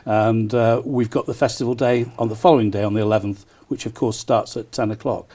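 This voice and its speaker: An enthusiastic man promoting an event as a broadcast interview guest, often pausing on small hesitations. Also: lowish voice, steady tone, mellow. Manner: enthusiastic, frequent hesitant ers. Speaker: town crier and festival promoter, interview guest.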